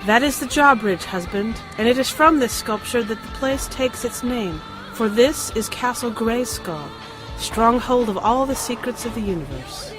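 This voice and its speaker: A woman's voice: a little high, expressive, normal speed, over music, lightly acted, low-quality recording. A woman playing a winged sorceress queen and former priestess in a fantasy audio drama, solemn and portentous. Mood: solemn, revelatory.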